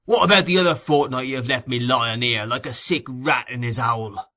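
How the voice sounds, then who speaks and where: A man voicing a brutal, surly burglar who talks in a loud growl. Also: medium pitch, normal speed, lightly acted. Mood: angry. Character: brutal, surly male burglar, sick and bitter.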